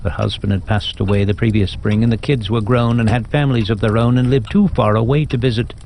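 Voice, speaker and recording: Low, gravelly male storyteller. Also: fairly even intonation, a little fast, darkish tone, slight background noise. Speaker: narrator. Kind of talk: scripted audio drama narration, storytelling. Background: music.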